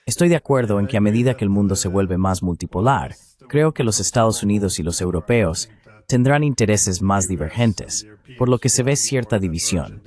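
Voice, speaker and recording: Low-pitched AI-dubbed male host. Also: lively intonation, moderate pace, darkish tone. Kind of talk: AI-voiced dubbed interview.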